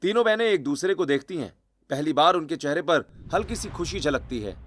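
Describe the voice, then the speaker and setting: A male storyteller with a fairly high-pitched voice. He delivers scripted, dramatic narration for a cartoon tale. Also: expressive, a little fast.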